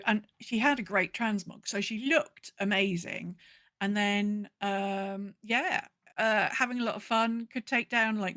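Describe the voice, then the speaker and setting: A woman with a bright, forward voice talks casually as a podcast co-host. She rambles in an animated way, acting out her thoughts as she goes, and the recording is dry and close. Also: medium pitch, no room sound. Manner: animated, rambling storyteller who acts out her own thoughts. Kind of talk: casual chat about gaming.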